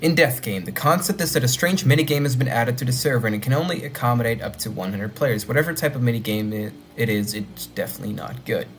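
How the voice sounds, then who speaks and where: A young man whose first language is Dutch, rambling excitedly while reacting to an animation and thinking out loud. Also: medium pitch, quick pace, hardly any pauses. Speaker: reaction video host. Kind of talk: casual reaction commentary.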